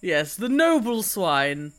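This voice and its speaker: A woman's voice: fairly low pitch, big pitch swings, slow, a bit bright. A woman playing a tabletop roleplaying game, narrating her character in a theatrical, learned manner. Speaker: player.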